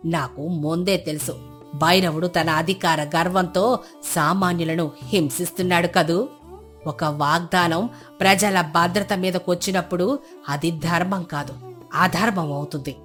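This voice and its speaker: A woman acting a serene, wise sage in a folk tale, giving counsel in a measured, authoritative way. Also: lowish voice, fairly even intonation, fairly bright tone, faint music behind. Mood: calm, grave.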